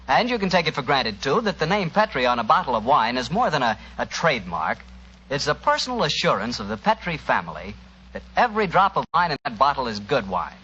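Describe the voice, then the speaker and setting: A man's voice: on the high side, sharp tone, husky. An American man announcing and hosting in a polished, salesy 1940s radio style. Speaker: announcer and sponsor host. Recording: dull 1940s radio recording.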